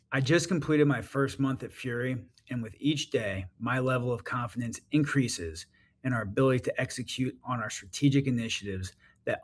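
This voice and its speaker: Formal male finance executive reading results. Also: mid-range voice. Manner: formal, methodical. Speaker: chief financial officer.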